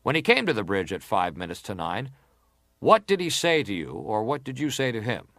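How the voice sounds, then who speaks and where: A man acting a middle-aged senator in a dramatised hearing, putting probing, formal questions in a deliberate, pressing way. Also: lowish voice, wildly swooping pitch, fairly bright tone, no echo, studio quality, exaggerated voice. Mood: probing, insistent. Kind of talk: dramatised reading of hearing interrogation.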